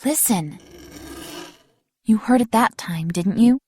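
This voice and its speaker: Animated, high-voiced young girlfriend. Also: slow pace, slightly rough, no echo, studio quality, full character voice. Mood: nervous, sardonic. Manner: sardonic, bossy, nervy. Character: sardonic, jumpy young girlfriend in horror tale. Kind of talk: casual dialogue in audio horror drama.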